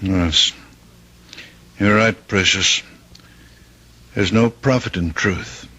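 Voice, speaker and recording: Stern moralizing dad in old radio drama, very low voice, slow and measured. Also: male voice, bright, forceful tone, highs cut off, full character voice. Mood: grave, ironic. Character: stern, high-principled father, quietly reproachful and unbending.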